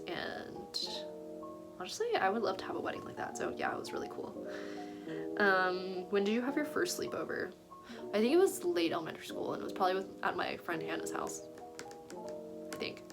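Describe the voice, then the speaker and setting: A woman in her twenties chatting casually to camera as a vlogger, filling her sentences with "like" and small laughs, raised speaking Chinese at home. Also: medium pitch. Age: 20s. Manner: chatty, heavy use of "like", giggly asides. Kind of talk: casual chat.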